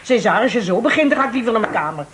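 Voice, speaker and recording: An actress playing a thrifty, condescending old aunt in a Dutch radio play, sharp-tongued and coaxing by turns. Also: female voice, on the high side, animated, very fast, a little hiss or hum. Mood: tart, indignant. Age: older.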